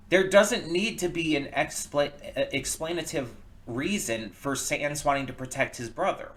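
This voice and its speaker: Man giving halting, casual commentary. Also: medium pitch, a bit bright. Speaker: reaction video host. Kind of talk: casual opinion commentary on a comic dub.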